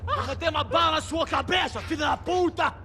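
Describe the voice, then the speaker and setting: Brazilian gunman, vicious, shouting, gravelly edge, rapid, threatening, game villain, music behind. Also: very high voice, slight background noise, heavily acted. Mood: enraged threats. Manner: shouting, aggressive. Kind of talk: action game villain acting.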